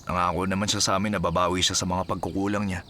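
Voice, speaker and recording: A man's voice: sits low, level tone, quick pace, a little hiss or hum. A man playing a forgiving, smitten husband in a radio drama, talking in a hesitant, colloquial manner. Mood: awkward, apologetic. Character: forgiving husband and father, smitten, awkwardly polite. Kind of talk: Filipino radio drama acting. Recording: loud music under the dialogue. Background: music.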